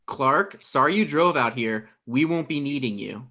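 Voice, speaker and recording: An irritable, sarcastic man in his thirties, played by an actor, whose pitch swings widely. He sounds argumentative and paranoid in a comedy table read. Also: animated, moderate pace, sharp tone. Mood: dismissive.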